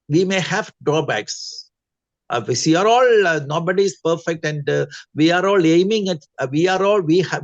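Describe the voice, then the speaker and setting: High-pitched Indian man, slightly muffled sound. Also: dry recording. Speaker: trainer giving feedback in a webinar. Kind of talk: congratulatory feedback speech. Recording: muffled online meeting audio.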